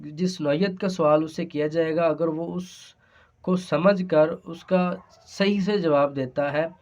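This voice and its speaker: A man's voice: a little high, fairly even intonation, takes it slowly, darkish tone. A man with a Pakistani accent giving an explanatory law lesson, speaking hesitantly and repeating his phrases. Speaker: law lecturer. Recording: dull, lo-fi sound.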